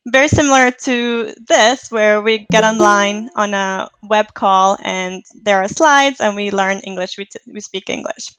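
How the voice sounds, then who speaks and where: A woman in her thirties from Lithuania, a native Lithuanian speaker, chatting as a guest on a video call, upbeat and quick to laugh. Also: medium pitch, fairly bright tone, clear, resonant tone, no room sound, rough recording. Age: 30s. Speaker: guest, fitness coach. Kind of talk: casual chat on a video call.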